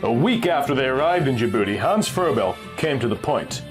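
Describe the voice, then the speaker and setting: Lively male pulp story narrator. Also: mid-range voice, brisk. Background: music.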